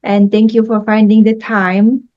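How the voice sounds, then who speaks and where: A woman's voice: medium pitch, level tone, a little slow. A woman from Poland whose first language is Polish, hosting a podcast interview politely and formally. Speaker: podcast host. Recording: dull lo-fi sound.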